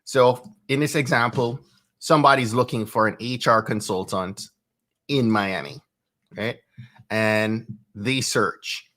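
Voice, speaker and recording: A Jamaican man in his thirties talks through tips on a live stream, his voice bright and forward. He keeps the tone chatty and often tags his points with "right?". He is close on a studio mic in a home studio. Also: mid-range voice, takes it slowly. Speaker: host. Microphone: studio microphone with headphones.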